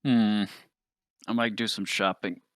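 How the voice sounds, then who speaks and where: A man's voice: fairly low pitch, steady tone, a little slow, smooth, no room sound, studio quality. A man playing a tabletop role-playing game, chatting casually in character.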